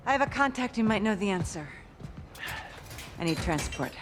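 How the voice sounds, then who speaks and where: A terse, determined soldier. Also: male voice, high-pitched, big pitch swings, normal speed, over music. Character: determined male soldier on a covert mission. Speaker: soldier seeking a pilot's help. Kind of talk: video game cutscene acting.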